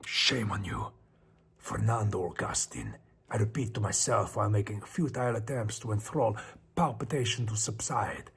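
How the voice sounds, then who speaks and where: A man voicing an anxious, brooding Spanish narrator of a horror story, theatrical and often dropping to hushed tones, with a Spanish accent. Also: lowish voice, lively intonation, normal speed, slightly rough, heavily acted. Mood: shaken, self-reproaching. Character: anxious, self-questioning Spanish man narrating his descent into madness.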